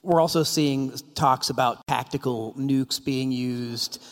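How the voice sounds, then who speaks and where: A man hosting a talk and asking measured questions of his guest. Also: mid-range voice. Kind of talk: moderated Q&A. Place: lecture hall. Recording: reverberant hall.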